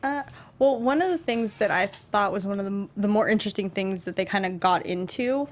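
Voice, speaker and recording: Casual woman co-host, mid-pitched voice. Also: brisk. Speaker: co-host of a film and game review show. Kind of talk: casual chatty discussion.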